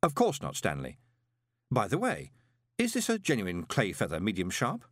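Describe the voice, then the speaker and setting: Man voicing a brisk, quick-witted swindler. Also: fairly low pitch, wildly swooping pitch, no room sound, studio quality. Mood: placating. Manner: brisk, assertive, persuasive. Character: glib, quick-witted male swindler turned postmaster. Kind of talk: audiobook character voice.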